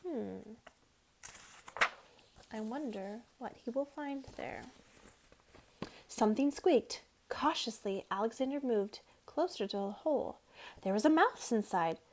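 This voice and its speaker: A woman narrates a picture book for children. Her voice sits high, and she reads expressively, as a storyteller does. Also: animated, slow pace, long pauses, background noise. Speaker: storybook reader.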